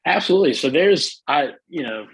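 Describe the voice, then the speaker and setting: A middle-aged man with a soothing, slightly husky voice, chatting enthusiastically as a guest, with many fillers and restarts. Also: fairly high pitch, lively intonation, brisk, dry recording, poor audio. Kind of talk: casual interview chat.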